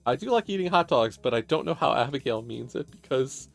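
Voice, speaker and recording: A man's voice: mid-range voice, animated, fairly quick, vocal fry, soft background music. A man chatting casually as a streamer during a shared game broadcast.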